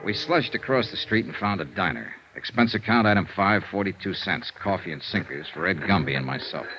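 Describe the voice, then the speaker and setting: An actor playing a tough but decent insurance investigator in a vintage radio detective drama, clipped and hardboiled, on an old recording. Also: male voice, low voice, lively intonation, fairly quick, highs cut off, music in the background. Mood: dry, reflective. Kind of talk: 1950s radio detective drama acting, narrating and dialogue.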